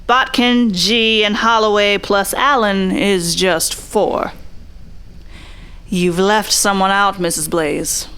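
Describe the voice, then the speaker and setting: A woman's voice: mid-range voice, steady tone, takes it slowly, a bit bright, clean tone. A woman playing a blunt, suspicious 1940s private eye who questions in a pressing, hard-boiled way, in radio drama style. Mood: probing, skeptical.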